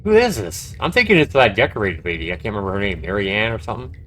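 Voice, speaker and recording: A man's voice: lowish voice, very animated, quick pace, sharp tone, a little hiss or hum. A man live-streaming a game, reading its text aloud and adding casual, reactive commentary. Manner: reactive, conversational.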